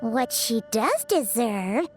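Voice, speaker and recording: A woman voicing a small childlike fairy companion in an animated video game, squeaky, petulant and exclamatory. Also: slow. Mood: indignant. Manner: refers to itself in third person, exclamatory. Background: music.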